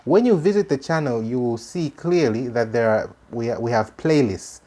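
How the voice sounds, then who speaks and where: A male host with a Malawian accent and Chichewa as his first language shares informal religious commentary. His voice sits at an average pitch. He speaks earnestly and haltingly, often circling back over a phrase to stress it. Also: lively intonation, mellow.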